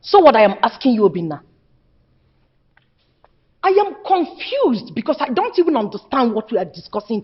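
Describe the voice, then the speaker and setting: A woman's voice: high voice, muffled recording. A woman with a Nigerian accent playing an outspoken, indignant daughter who scolds her family with repeated questions in a film drama. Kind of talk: heated film drama acting.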